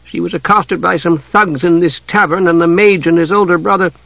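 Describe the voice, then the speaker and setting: A man's voice: sits high, fairly even intonation, fairly quick, vocal fry, pushed and bright, no echo, highs cut off, pitched above the performer's own voice, heavily acted. A man voicing a haughty, evasive young woman, defensive and boastful, in a storybook narration. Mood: storytelling. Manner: hesitant, defensive, offhand boasting.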